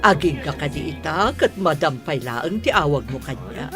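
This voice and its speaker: A woman's voice: low voice, very animated, moderate pace. A woman playing a kindly, affectionate mother in a radio drama, with an emotive delivery. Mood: affectionate, happy. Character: kindly, approving mother welcoming her daughter-in-law. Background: faint music.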